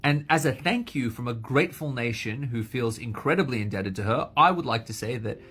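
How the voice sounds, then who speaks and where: A man's voice: medium pitch, lively intonation, brisk, a bit bright, husky. A man who is a lawyer, speaking earnestly and emphatically in a short social media video.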